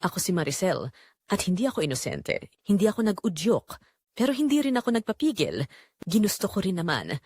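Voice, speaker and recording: Actress as sensual, restless Filipina wife confessing an affair; very animated pitch, dry close sound, audio drama narration. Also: female voice, a little fast, breathy edge, dry recording. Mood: conflicted, resolute.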